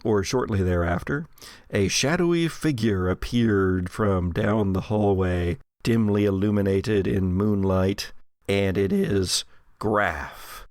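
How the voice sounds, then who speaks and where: Slow, low-voiced man with gravelly creak. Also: dry recording, studio quality. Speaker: host and game master of an actual play podcast. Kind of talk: tabletop game narration mixed with casual chat.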